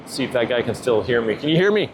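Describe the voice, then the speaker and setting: A man with a medium-pitched voice talks casually through an unscripted product review. His delivery is loose and informal, with frequent checking questions. He is recorded outdoors, with some faint noise behind him. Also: very animated, quick pace. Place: outdoors near water, walking.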